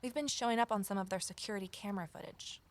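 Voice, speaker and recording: An actress playing a wary, determined amateur sleuth in a naturalistic audio drama, conversational and gently wry. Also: female voice, medium pitch, racing, natural voice. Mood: earnest, concerned. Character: Evelyn, wary determined former journalist turned amateur sleuth. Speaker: lead character and first-person narrator.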